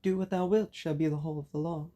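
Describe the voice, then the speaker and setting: A woman with a raspy, hoarse voice from a cold, giving a measured spoken lecture as host of her own channel. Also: low-pitched, steady tone, warm tone. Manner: measured, explanatory delivery.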